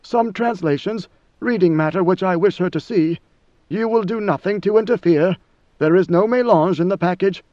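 A man voicing a cold, commanding ancient ruler in a measured, lofty way. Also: high voice, darkish tone, no room sound, lo-fi sound, lightly acted. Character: ancient imperious male god-emperor, coldly commanding.

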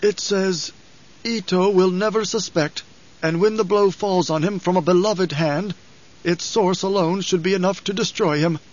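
A man voicing a loyal old advisor in an audiobook, grave and deferential, with a measured, formal, hesitant delivery. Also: high voice, lo-fi sound, pitched above the performer's own voice. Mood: grave, careful. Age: older.

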